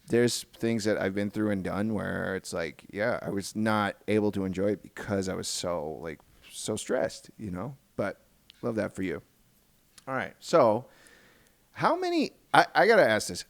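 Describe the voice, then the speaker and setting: A man hosting a casual podcast interview, talking in a halting way and repeating words as he thinks aloud. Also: lowish voice, creaky, no echo. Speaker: podcast host.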